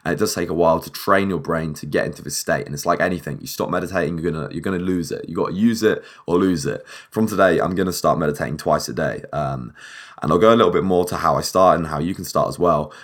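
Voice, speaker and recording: A young man with a husky, deep voice, hosting a solo podcast and rambling with restarts, a bit dehydrated. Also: fast. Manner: rambling, repeats and restarts words. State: a bit dehydrated and short on sleep. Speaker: podcast host.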